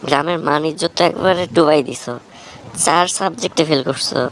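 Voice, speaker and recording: Woman voicing a bossy big brother, lowish voice. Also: fairly quick, slight background noise, lightly acted. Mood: scolding, sarcastic. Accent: Bangladeshi. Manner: stern, sarcastic, lecturing. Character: bossy, scolding young village big brother turned tutor. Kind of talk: amateur cartoon dialogue.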